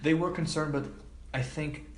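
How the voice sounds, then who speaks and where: A man's voice: fairly high pitch, fairly even intonation, normal speed. A man speaking as an interview guest in a casual, informal, hesitant way, with lots of filler words. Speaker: podcast guest, film production assistant. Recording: echoey room, background noise.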